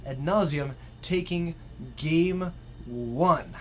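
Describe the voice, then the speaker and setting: A man's voice: on the high side, extremely slow, slight background noise. A man in his twenties, commentating a live card game stream from a game store with dry joking banter. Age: 20s.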